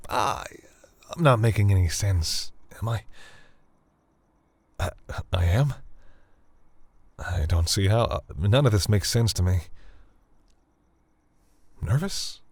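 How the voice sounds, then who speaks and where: Flustered vampire boyfriend, played by a male actor, deep husky voice, halting and tender, intimate audio roleplay. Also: a little mellow, full character voice. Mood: nervous, embarrassed. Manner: hesitant, halting, stammering delivery with sighs.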